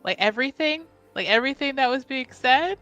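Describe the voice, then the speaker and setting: A woman's voice: sits high, lively intonation, a little fast, fairly bright tone, dry recording, muffled recording, soft background music. A woman playing a tabletop roleplaying game, casually describing what her character does. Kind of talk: casual improvised chat about her character.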